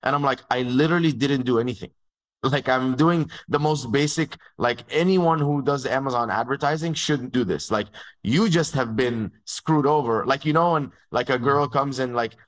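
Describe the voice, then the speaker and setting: A young man from Dubai, telling his agency story in a fast, casual way full of "like"s. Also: medium pitch, rough recording. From born in Egypt, grew up in Dubai. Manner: fast, filler-heavy talk with many "like"s, acts out dialogue. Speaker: agency founder, guest.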